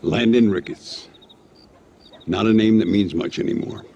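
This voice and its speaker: An actor playing a weary, laconic old American gunslinger, gravelly and dry, in a video game cutscene. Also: male voice, lowish voice, mellow, muffled recording. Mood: wry, weary. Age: older. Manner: dry, slow, world-weary. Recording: faint outdoor ambience and noise.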